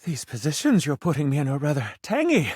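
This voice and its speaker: An actor playing a pompous, flirty food critic in a video game, with formal, theatrical phrasing and French flourishes. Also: male voice, a little high, wildly swooping pitch, fairly quick, darkish tone, slightly rough, dry recording, studio quality, heavily acted. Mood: eager, indulgent. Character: pompous, flamboyant male food critic, flirty gourmand dandy. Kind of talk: video game character acting.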